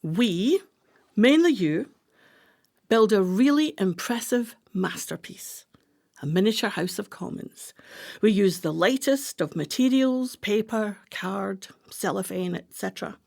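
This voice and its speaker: A woman's voice: medium pitch, expressive, a little slow, vocal fry, darkish tone. A woman playing a scheming, imperious, artistic retired wife in a radio play, with dramatic, emphatic delivery. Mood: excited, conspiratorial.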